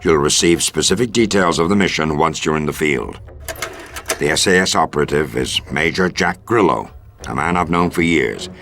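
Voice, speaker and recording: An actor playing a stern, composed American army intelligence colonel, giving a formal, measured mission briefing in a video game. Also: male voice, extremely low pitch, a bit bright. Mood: grave. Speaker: military officer giving a briefing.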